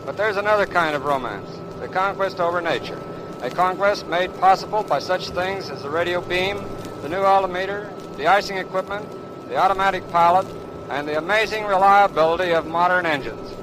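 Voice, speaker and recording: High-voiced man on noisy 1930s radio. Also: fairly quick. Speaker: guest aviation expert. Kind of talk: formal scripted radio talk, 1930s.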